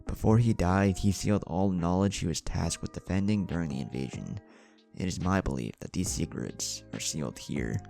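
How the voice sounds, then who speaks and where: A man's voice: sits low, normal speed, creaky, darkish tone, faint music behind. A man voicing a stern, commanding grandmother who leads a ninja clan in a fantasy story, speaking formally and solemnly. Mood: solemn, proud. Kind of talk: fantasy story character reading.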